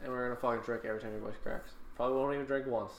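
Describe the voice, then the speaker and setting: A man's voice: mid-range voice, fairly even intonation, very fast. A man, bantering casually as a podcast co-host, blunt, teasing and full of swearing. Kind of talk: casual profane banter with a friend. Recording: echoey room with faint background noise.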